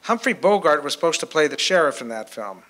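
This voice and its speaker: An older man telling anecdotes to an audience on a panel, in a halting way. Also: on the high side, sing-song, bright, forceful tone, a little hiss or hum. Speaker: panelist and author. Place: hotel conference room with an audience. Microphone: handheld microphone.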